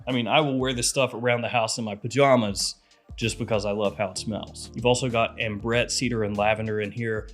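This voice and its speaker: A man in his thirties with a head cold, chatting animatedly as he reviews fragrances in a small room. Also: mid-range voice. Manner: chatty, animated, gesturing with hands. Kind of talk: casual video review. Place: small room with lit shelves of bottles.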